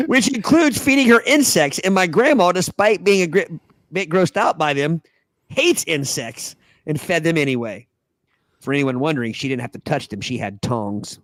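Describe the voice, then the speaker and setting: A man's voice: fairly high pitch, no room sound. A man hosting a podcast, reading a listener's post aloud with asides. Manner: reads with frequent laughing breaks and asides.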